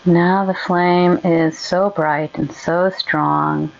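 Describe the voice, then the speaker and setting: A woman guides a meditation very slowly, in a gentle voice with a gravelly creak. She leaves long pauses between soothing instructions. The recording is slightly dull and muffled. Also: fairly low pitch, fairly even intonation.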